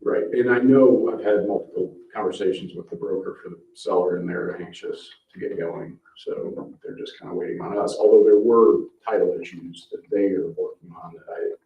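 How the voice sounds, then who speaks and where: A man's voice: low voice, normal speed, vocal fry, hardly any pauses. An American man chairing a public meeting, talking informally through business items in a meeting room. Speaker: commission chair running a meeting. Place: indoor town meeting room. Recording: roomy, distant mic, dull, faint hiss.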